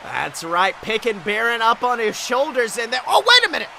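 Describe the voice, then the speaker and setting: A man's voice: very high voice, wildly swooping pitch, normal speed, gravelly, bright, forceful tone, a little hiss or hum. A man doing dramatic, exclamatory play-by-play wrestling commentary as a podcast host, with a breathless delivery.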